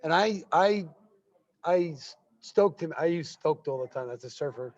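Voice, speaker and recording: A man's voice: fairly high pitch, animated, normal speed, darkish tone. A man talking casually as a guest, friendly and effusive, his voice worn from talking. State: losing his voice. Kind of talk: casual conversation. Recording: dull, muffled livestream audio.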